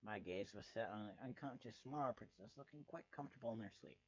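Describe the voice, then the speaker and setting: A man's voice: on the low side, expressive, quick pace, darkish tone, slightly rough. Sardonic male story reader, slightly dull sound. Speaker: fan fiction reader. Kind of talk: dramatic first-person story narration.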